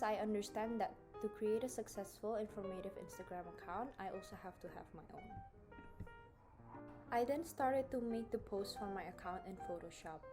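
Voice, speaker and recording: A teenage girl from Indonesia giving a scripted school project presentation, earnest and read aloud, her phrases trailing off at the ends. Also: mid-range voice. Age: teens. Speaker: student presenter.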